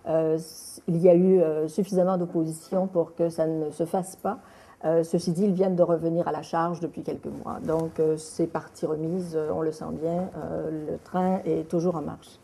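A middle-aged woman, a documentary filmmaker answering an interview, pausing often on filler hesitations. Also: low voice, little pitch movement, gravelly, warm tone, breathy edge. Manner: halting, many filler hesitations.

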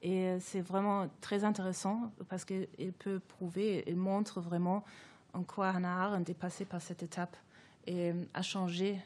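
A woman's voice: lowish voice, flat delivery, unhurried, a little mellow. A woman whose first language is German, a guest author speaking reflectively in an academic discussion, thoughtful and hesitant with many fillers and restarts.

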